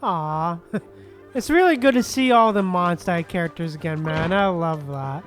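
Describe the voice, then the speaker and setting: A woman streaming a video game, reacting casually and fondly with giggles and drawn-out little exclamations. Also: lowish voice, expressive, faint music behind.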